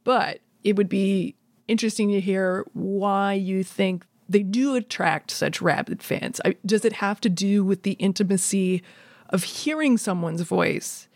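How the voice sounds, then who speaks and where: A dry, studio-close recording of a woman with a mid-pitched voice. She speaks conversationally as a podcast interviewer, laying out her thoughts and questions. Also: a little slow, a little mellow, no room sound.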